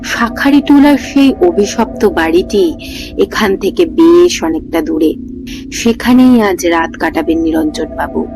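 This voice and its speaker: A woman's voice: lowish voice, poor audio. A woman narrating a scripted ghost story in a dramatic storytelling style. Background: music.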